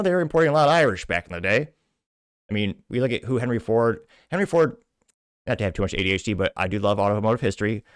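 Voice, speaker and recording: A man's voice: on the low side, no room sound, clean studio sound. A man with an American accent giving casual, rambling political commentary as a show host, with offhand asides.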